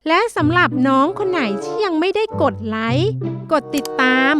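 Very high-pitched woman announcer. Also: very animated, moderate pace. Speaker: channel announcer. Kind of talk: upbeat scripted children's channel promo. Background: loud children's music.

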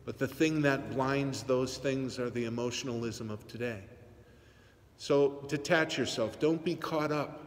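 Man preaching in an echoing church. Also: medium pitch. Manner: earnest, measured preaching with teasing asides. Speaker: priest. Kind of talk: sermon. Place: large church sanctuary. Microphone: phone camera on the pulpit, aimed up. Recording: long church reverb.

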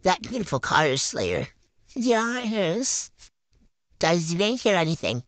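A giggly woman bantering through a silly game video, her voice bouncing up and down in pitch as she brags in teen slang. She sounds close to the mic in a dead, dry space. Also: sing-song, measured pace, dry recording. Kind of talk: playful improvised banter voicing game characters.

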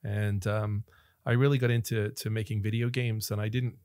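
A middle-aged man from Northern Ireland with an American accent, a relaxed guest telling stories in a casual studio interview. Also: lowish voice, moderate pace, no room sound. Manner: relaxed storyteller, laughs often, gestures with hands. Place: small podcast studio. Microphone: studio mic on boom arm, headphones on.

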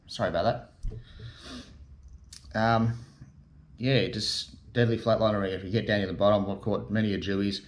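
A middle-aged Australian man with a somewhat low voice talks through a fishing demonstration in an easygoing, matey way, full of fillers and asides. He sits at a table in a garage workshop, heard through a camera set in front of him. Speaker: fishing channel host. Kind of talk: casual how-to demonstration. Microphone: camera in front at desk distance.

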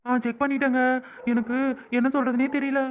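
A man's voice: high voice, fairly even intonation, racing, slight background noise, close to an everyday voice. A man voicing an emotional young wife hoping she is pregnant, pleading and prayerful, in a home-made skit with a tinny phone-like sound. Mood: tearful joy. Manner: pleading, prayerful, weepy with joy.

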